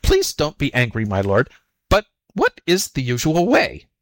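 A man voicing a sly storybook jackal who acts flustered and slow-witted, fretful and full of exclamations. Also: medium pitch, huge pitch swings, no room sound, voice pushed up. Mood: flustered, feigned distress. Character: sly jackal feigning muddled, whimpering stupidity. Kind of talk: storybook character voice.

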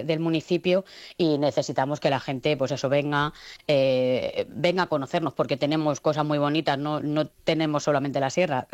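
A woman's voice: low voice, a little mellow, dry recording, muffled recording. A woman mayor speaking on a phone interview, hesitant, with many fillers and restarts. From Spain. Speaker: town mayor. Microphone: phone call.

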